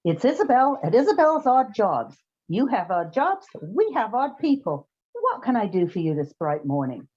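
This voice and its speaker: An actress playing a brisk, wry woman who runs an odd job agency in an old-time radio comedy. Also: on the high side, very animated, a little mellow, breathy edge. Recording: slightly dull, muffled sound.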